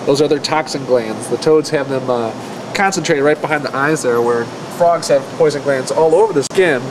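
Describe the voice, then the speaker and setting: A man's voice: mid-range voice, normal speed. Man, informal zoo keeper presenter, explanatory delivery, casual phrasing, noticeable ambient hum behind the voice. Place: zoo exhibit area.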